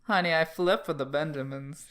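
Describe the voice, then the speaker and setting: Playful man with a bright, clear voice. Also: a little high, moderate pace. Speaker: podcast co-host. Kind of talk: casual podcast chat.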